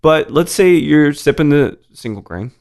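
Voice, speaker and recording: A man hosting a podcast, talking in a laid-back, casual way with a deep voice and some swearing. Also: expressive, measured pace, a little mellow. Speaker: podcast host. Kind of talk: casual interview chat.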